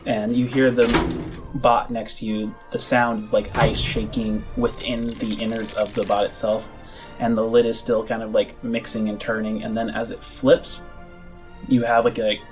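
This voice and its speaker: A man's voice: fairly low pitch, little pitch movement, moderate pace, over music. A man narrating a tabletop game as game master, improvising vivid, savored descriptions.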